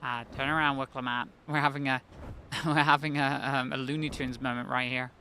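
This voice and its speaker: A woman streaming a video game live, chatting and reacting excitably to the game. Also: extremely low pitch, a little fast, slight background noise. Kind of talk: live gameplay commentary. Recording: gravelly voice over clear game music.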